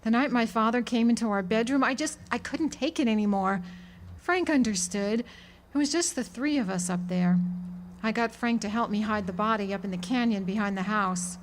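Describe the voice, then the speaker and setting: A woman acts out a defiant small-town woman weighed down by guilt. Her voice sits on the high side, and she confesses earnestly, with the dramatic delivery of a period radio play. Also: moderate pace, slight background noise, close to an everyday voice. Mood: pleading confession. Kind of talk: radio drama acting, 1940s setting. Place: live amateur radio drama performance at an amphitheater.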